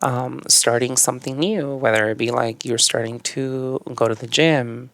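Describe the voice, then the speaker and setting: A young adult man from northern Mexico whose first language is Spanish, a podcast guest telling his story in a relaxed, reflective way with frequent fillers. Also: medium pitch, moderate pace, vocal fry, no echo, studio quality. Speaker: podcast guest, yoga teacher. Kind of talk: casual conversational storytelling.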